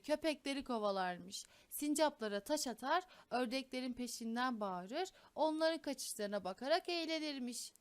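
High-pitched woman telling children's fairy tales. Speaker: children's storyteller. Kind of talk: expressive scripted fairy-tale narration for children.

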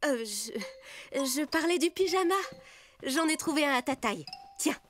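A woman's voice: sits high, animated, normal speed, over music. A woman voicing a kindly, caring adult mother bear in a preschool cartoon, motherly and reassuring. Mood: cheerful.

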